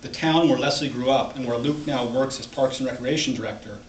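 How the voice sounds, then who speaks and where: A man reads literary fiction aloud at a live author reading. His voice sits at an average pitch and comes from some distance in an echoey room, with light noise behind it. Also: brisk. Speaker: author reading own short story. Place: library event room, indoors.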